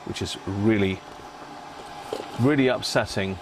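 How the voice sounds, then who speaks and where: A man hosting a live repair stream, chatting casually to viewers and thinking aloud in short, halting phrases. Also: fairly low pitch, noisy background.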